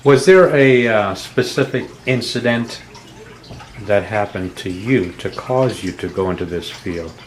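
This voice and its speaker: A woman's voice: very deep voice, measured pace, slight background noise. A woman interviewing a guest, affirming her and summing up her points before asking questions.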